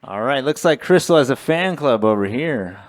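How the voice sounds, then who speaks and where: A man acting as MC at a wedding, announcing the speakers loudly over the microphone. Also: medium pitch, animated. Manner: slow, loud announcing.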